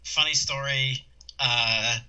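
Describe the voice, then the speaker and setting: A man's voice: medium pitch, fairly even intonation, slow, sharp tone, clear, resonant tone, muffled recording. A young man from New Zealand with a New Zealand accent, chatting as a podcast guest, rambling with lots of fillers and restarts. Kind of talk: casual chat.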